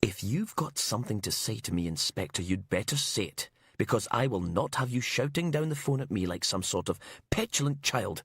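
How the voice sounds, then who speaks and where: A man's voice: a little high, very animated, a little fast, a bit bright, dry recording, studio quality, voice pushed up. A man voicing an imperious, icy woman pathologist in an audiobook, clipped, cutting and indignant. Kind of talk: audiobook character acting.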